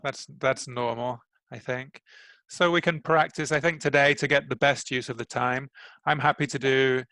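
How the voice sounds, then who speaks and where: A man's voice: medium pitch, steady tone, normal speed, gravelly, bright, forceful tone, dry recording. A man coaching a student for a job interview in English on a video call, speaking patiently and encouragingly. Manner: patient, encouraging, carefully paced explanations. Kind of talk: tutoring conversation.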